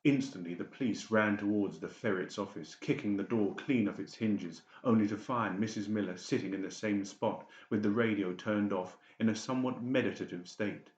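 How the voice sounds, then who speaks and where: A man's voice: fairly low pitch, normal speed, hardly any pauses. A man reading scripted dramatic narration for an audiobook. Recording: muffled, echoey home recording.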